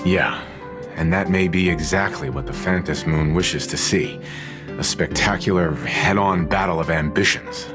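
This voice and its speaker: Steady reflective man, game role: very low voice, composed, over background music. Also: unhurried. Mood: serious. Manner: composed, serious. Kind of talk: video game voice acting. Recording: music under the voice.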